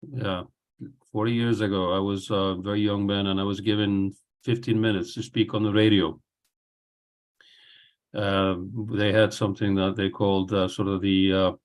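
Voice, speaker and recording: A man, speaking as a guest on a video call in a rambling, unhurried, self-deprecating way. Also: lowish voice. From Iceland. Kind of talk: casual online talk. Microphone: computer on a video call.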